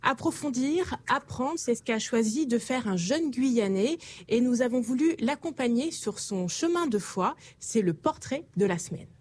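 Woman co-host, slightly high voice, calm careful questions. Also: normal speed, slightly rough. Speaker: co-host interviewer. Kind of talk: interviewing a guest on air.

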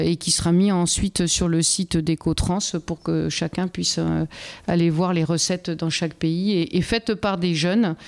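Middle-aged lady guest on a chat show, smooth clear voice, lots of umms and restarts. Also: fairly low pitch, darkish tone. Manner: hesitant, many fillers and restarts. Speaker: interview guest, Erasmus project member. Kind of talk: casual interview conversation.

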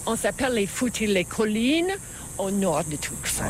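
A woman's voice: mid-range voice, animated, moderate pace. An older woman from Canada, a local guide, chatting casually as an interview guest. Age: older, retired. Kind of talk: casual chat. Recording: hissy location recording with clear noise.

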